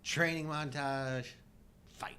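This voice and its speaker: A man's voice: a little high, steady tone, takes it slowly, bright, forceful tone. A middle-aged man co-hosting a live show in casual conversation about films. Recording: faint background noise.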